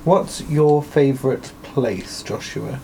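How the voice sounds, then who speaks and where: A man's voice: mid-range voice, slow, creaky, mellow, slight background noise, rough recording. A man in his twenties with a posh English accent, co-hosting a podcast in casual, chatty talk with some hesitant fillers. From England. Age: 20s. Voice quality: posh, plummy voice. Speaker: podcast co-host. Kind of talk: casual chat.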